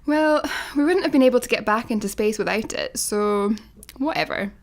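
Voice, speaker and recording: An actress playing an exasperated, sarcastic space janitor in a comedy radio play, wry and articulate. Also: female voice, a little high, normal speed, lightly acted. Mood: exasperated, dry.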